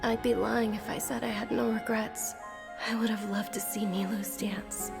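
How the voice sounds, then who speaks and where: A woman's voice: a little high, flat delivery, normal speed, creaky, over loud music. A woman voicing an earnest, polite young woman in a video game. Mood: wistful. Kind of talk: video game character acting.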